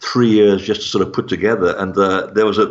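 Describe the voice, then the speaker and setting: A man's voice: lowish voice, fairly even intonation, brisk, muffled recording. A man speaking as a guest author in a casual, discursive interview about his own work. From born in Portugal.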